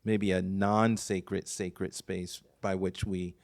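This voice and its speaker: A man's voice: deep voice, level tone, slow pace. A man, a fellow poet, interviewing on stage at a live bookstore event and offering encouraging short affirmations.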